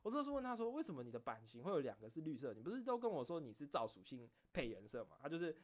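A man's voice: a little high, rapid-fire. A man with a Taiwanese accent chatting casually as an online creator, telling anecdotes animatedly, acting out both sides of exchanges and venting self-critically. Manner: animated storytelling, acting out back-and-forth exchanges. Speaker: video creator and streamer.

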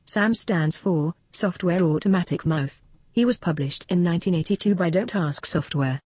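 A text-to-speech female voice playing a sardonic disembodied computer moderator, deadpan in scripted comedy dialogue. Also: lowish voice, moderate pace, slightly rough, no room sound. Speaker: moderator and sidekick.

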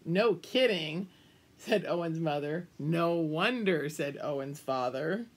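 An older woman reads a children's picture book aloud at an unhurried pace. Her voice is slightly husky and swings widely up and down, with big emphasis on key words and little asides to the children. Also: low voice, animated. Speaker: children's storybook reader. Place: small room at home, indoors.

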